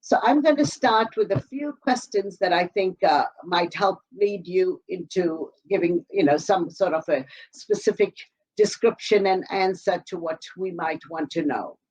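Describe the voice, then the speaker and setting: A woman's voice: fairly low pitch, measured pace, darkish tone. Hesitant woman interviewer on a dull call. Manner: hesitant, with many fillers and restarts. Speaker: interviewer for a sewing supply business. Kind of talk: scripted interview questions.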